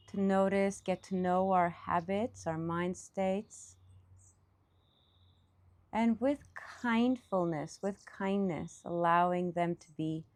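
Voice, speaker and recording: A woman leading a guided meditation as a teacher, speaking off the cuff in reflective, halting phrases with pauses. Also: lowish voice, darkish tone.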